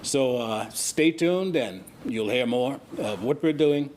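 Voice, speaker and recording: Bahamian man hosting over clear background noise. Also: medium pitch, expressive, takes it slowly, darkish tone. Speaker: host and relief coordinator. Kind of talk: unscripted interview and announcements. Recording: clearly noisy room ambience.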